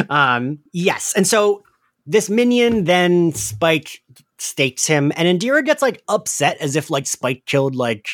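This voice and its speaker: A man's voice: a little high, big pitch swings, takes it slowly, a bit bright, no room sound, clean studio sound. A man co-hosting a casual recap podcast, chatty and giggly, peppering his talk with "like". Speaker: podcast co-host.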